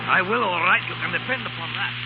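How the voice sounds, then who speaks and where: Defensive criminal underling, noisy old radio. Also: male voice, high voice, quick pace, creaky. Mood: obliging. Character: gruff criminal underling, defensive but obedient. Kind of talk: 1930s radio drama acting. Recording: noisy, muffled 1930s radio broadcast.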